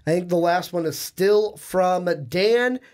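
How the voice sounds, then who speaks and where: A man's voice: high voice, takes it slowly, darkish tone, clear, resonant tone. A young man hosting a sports podcast, talkative and upbeat, sounding nasal and stuffy from a cold, speaking into a studio microphone. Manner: upbeat, talkative, reads plugs. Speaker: podcast host.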